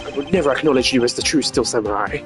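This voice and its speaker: A man voicing a stern, formal gentleman prosecutor from a video game who questions pointedly. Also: medium pitch, very fast, music in the background, lightly acted. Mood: indignant.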